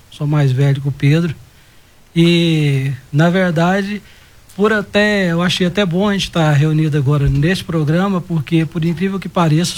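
A man, a radio guest speaking modestly and a little nervously. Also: on the high side. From Goiânia, Brazil.

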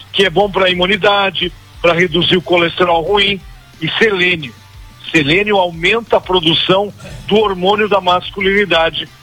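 Husky high-voiced man in his sixties pitching. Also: pushed and bright, poor audio. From Goiânia, Brazil. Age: 60s. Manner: pushy salesman, repeating the phone number. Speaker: product pitchman. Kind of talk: live radio sales pitch. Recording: radio broadcast with music behind.

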